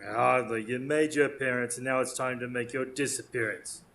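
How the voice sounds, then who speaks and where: A man's voice: medium pitch, steady tone, moderate pace, gravelly, a bit bright. A man playing a brusque, officious police inspector in a radio drama, eager and easily flustered. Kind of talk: live radio drama acting. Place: performance space in front of a live audience.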